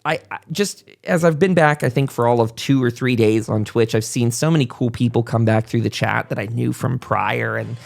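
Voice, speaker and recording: A man's voice: mid-range voice, normal speed, vocal fry, few pauses. A man hosting a podcast in a casual interview style, rambling along with folksy enthusiasm. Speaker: podcast host.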